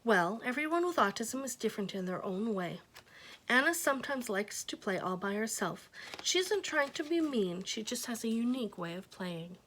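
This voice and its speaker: A friendly mother patiently explaining things to curious children, voiced by a woman reading aloud. Her manner is calm and open. It is close to the reader's natural voice. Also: on the high side, normal speed, a little hiss or hum, rough recording.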